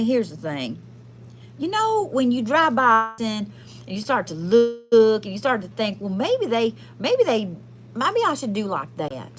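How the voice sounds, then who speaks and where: A woman's voice: lowish voice, a little hiss or hum, lightly acted. Woman putting on a brassy Southern trailer park queen. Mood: sassy, advising. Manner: folksy, sweary, chatty, calls listeners honey and y'all. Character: brassy, foul-mouthed, kindhearted trailer park queen fortune teller. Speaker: livestream tarot reader. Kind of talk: casual comic live card reading in persona.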